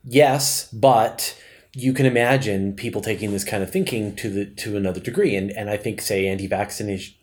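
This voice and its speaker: Low-voiced man interviewing casually. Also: a little fast. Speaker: podcast host and interviewer.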